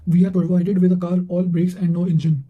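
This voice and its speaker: Male reader for revision notes: flat intonation, high register, occasional self-corrections. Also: brisk, narrow, tinny audio. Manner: reads with stumbles and self-corrections. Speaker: narrator reading quotations for exam revision. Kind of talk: read-aloud quotations, study revision.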